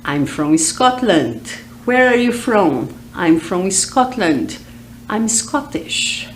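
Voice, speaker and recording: A woman's voice: mid-range voice, very animated, slight background noise. A woman from Brazil with a Brazilian Portuguese accent, teaching English to teens in a video lesson and drawing out each word as she drills it. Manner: slow, drawn-out drilling of English words.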